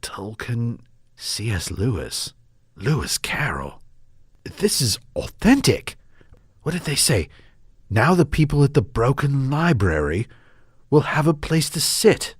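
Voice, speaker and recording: Man playing a genteel, animated, curious fellow. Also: medium pitch, slow pace, slightly rough, dry recording, studio quality, heavily acted. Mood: curious, marveling. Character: genteel, curious, refined coffee roaster man. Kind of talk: improvised radio comedy drama.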